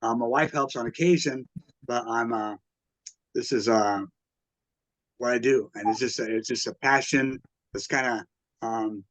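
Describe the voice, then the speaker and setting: A man's voice: medium pitch, measured pace, pauses often, a bit bright, no echo, rough recording. A man talking casually as an interview guest, explaining things in a rambling, conversational way. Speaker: museum founder and curator, interview guest.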